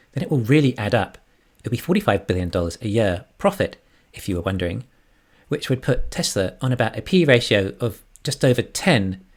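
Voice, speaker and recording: A man giving scripted financial commentary as an online analyst and narrator. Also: medium pitch. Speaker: YouTube stock analyst.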